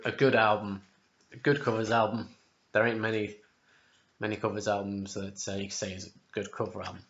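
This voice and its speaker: Hoarse man rambling in his kitchen. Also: lowish voice, slight background noise. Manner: rambling, chatty, corrects himself. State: sore throat, losing his voice. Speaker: music vlogger. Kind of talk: casual album ranking vlog. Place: home kitchen, indoors. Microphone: webcam at close range. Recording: dull lo-fi webcam audio.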